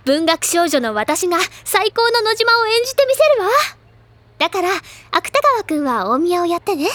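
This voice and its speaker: An actress playing a cheerful, bookish teenage club president in an audio drama, with gushing, theatrical, feminine phrasing. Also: female voice, very high-pitched, sing-song, moderate pace, a bit bright, dry recording, full character voice. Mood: bright, bossy. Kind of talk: Japanese audio drama, anime-style acting.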